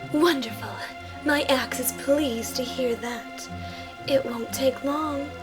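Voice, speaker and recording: A brash, vain bounty hunter voiced by a woman in an animated game style. The voice is high and unhurried, drawling with smug confidence over soft music. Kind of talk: anime-style game fan dub acting. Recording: music behind the voice.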